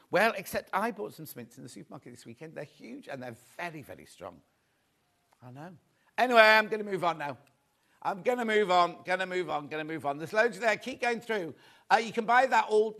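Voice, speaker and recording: Guy hosting a shopping channel, a bit croaky, highish voice, chatters nonstop. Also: a bit bright. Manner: chatty, rambling sales patter. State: slightly croaky voice. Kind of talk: live TV sales chat.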